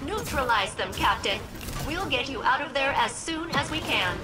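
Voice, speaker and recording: Chill sarcastic ship AI, voice bounces all over, loud music behind. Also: female voice, high voice, expressive, moderate pace. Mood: wry. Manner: casual, wry, sarcastic. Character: casual, wry female AI persona of the same ship. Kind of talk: video game voice acting.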